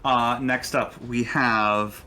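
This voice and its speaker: A man's voice: medium pitch, fairly even intonation, slow, pushed and bright, faint music behind. A man narrating a tabletop roleplaying game as the game master.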